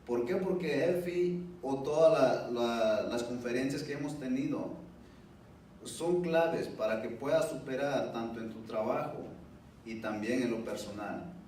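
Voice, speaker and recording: A man's voice: medium pitch, a little slow, a little mellow. Echoey, distant man giving testimony in Spanish. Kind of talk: personal testimony speech at a public event. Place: indoor event room, heard from far off. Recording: distant mic, very echoey room, faint noise.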